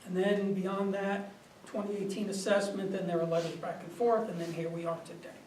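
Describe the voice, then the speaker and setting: A high-voiced man runs a small-town planning board meeting, steady and procedural as he lays out the timeline and invites comment. He is picked up from a distance in a reverberant hall, with a faint hiss underneath. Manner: measured, procedural. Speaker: board chair. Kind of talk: chairing a public meeting. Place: village meeting room. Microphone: far room mic.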